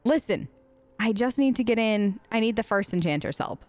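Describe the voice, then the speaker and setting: A woman's voice: on the high side, lively intonation, normal speed. A woman hosting a gaming video, improvising in-character replies in a sarcastic, conversational way on a close mic. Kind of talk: improvised in-character role-play let's play.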